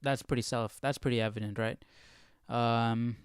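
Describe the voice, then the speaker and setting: Guy hosting a casual livestream study, smooth clear voice, dry close sound, reads stuff out and chats about it. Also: medium pitch, steady tone, normal speed, no echo. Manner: conversational, often checking in with "right?". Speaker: host of a livestream Bible study. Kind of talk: casual study talk, reading and commenting aloud.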